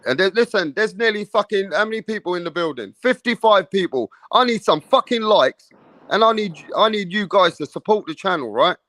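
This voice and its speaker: A man's voice: sits high, expressive, brisk, pushed and bright, no room sound. A man hosting a casual boxing livestream, swearing freely and pushing the viewers to vote. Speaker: livestream host.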